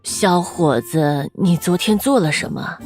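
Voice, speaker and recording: Casting: actress as elderly kindly granny, lowish voice, caring and plain-spoken, animated fantasy. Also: female voice, a little slow, soft background music, lightly acted. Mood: questioning. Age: older. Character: kindly, practical old grandmother. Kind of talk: animation voice acting.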